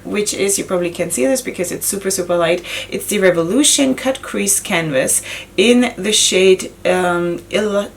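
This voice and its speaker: A raspy woman in her thirties, hoarse from a summer cold, talks through her makeup routine in a chatty, self-questioning way. Her voice sits at a middling pitch with a gravelly edge. She speaks close to the camera in a small indoor room. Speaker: beauty vlogger. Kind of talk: casual makeup tutorial talk.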